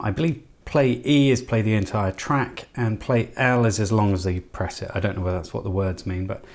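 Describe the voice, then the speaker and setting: A man's voice: lowish voice, darkish tone. A man casually talking through a hands-on electronics build, thinking aloud in a tentative, self-deprecating way. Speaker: host of an electronics project channel.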